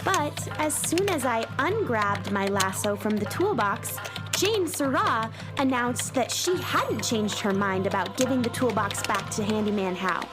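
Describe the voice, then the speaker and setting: A high, animated young cowgirl heroine speaks with earnest, storybook sincerity. It is children's radio theater, with music clearly playing underneath. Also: measured pace. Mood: storytelling. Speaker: narrator and lead actor. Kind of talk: children's radio drama acting and narration.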